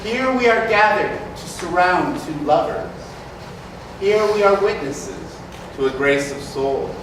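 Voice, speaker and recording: Man as a slow, reverent wedding poet. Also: high voice, lively intonation. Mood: reverent, lyrical. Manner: lyrical, measured, declamatory. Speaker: actor in a staged reading. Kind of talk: poetry recital on stage. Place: large community hall. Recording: echoey hall, distant mic, noisy.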